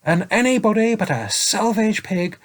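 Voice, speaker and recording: A man's voice: sits high, lively intonation, pitched above the performer's own voice. A man doing a firm, kindly knight. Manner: firm, reassuring, deliberate.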